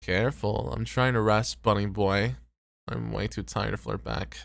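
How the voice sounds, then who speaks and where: A man playing a tired, popular host and teasing flirt in an ASMR roleplay, with relaxed banter. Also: fairly low pitch, moderate pace, vocal fry, dry recording, studio quality. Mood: teasing, flirty.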